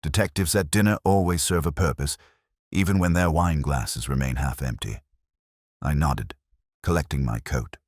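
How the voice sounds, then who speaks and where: A man narrating a literary detective story in the first person, in a measured, solemn and reflective manner. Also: rumbling low, no echo, clean studio sound.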